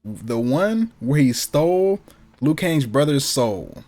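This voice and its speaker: A man's voice: mid-range voice, big pitch swings, takes it slowly, a little mellow. An American man co-hosting a gaming show in casual, slangy, profane and enthusiastic chat.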